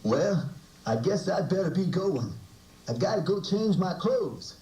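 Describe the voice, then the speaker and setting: A man voicing a lively, cool puppet that half sings fire safety lessons to children in a showy, exhorting way. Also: sits high, measured pace. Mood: playful. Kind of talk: upbeat musical children's puppet show performance. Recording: roomy, distant VHS tape, loud music. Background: loud song backing music.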